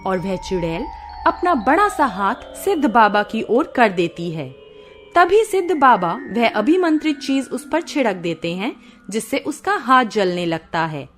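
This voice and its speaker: A woman storyteller with a high voice and very animated intonation. She reads a scripted bedtime tale expressively, with faint music behind her. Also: unhurried. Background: faint background music.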